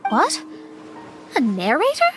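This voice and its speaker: An actress voicing a composed young woman adventurer who reasons aloud in a measured way. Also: sits high, huge pitch swings, a bit bright, exaggerated voice. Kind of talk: game voice acting. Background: music.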